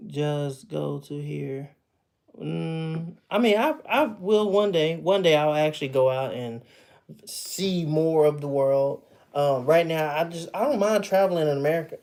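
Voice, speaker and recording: A man's voice: a little high, moderate pace, a little mellow. A man in his thirties chatting casually with viewers on a livestream from home, loose and chatty, often laughing and trailing off mid-thought. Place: at home with memorabilia behind him.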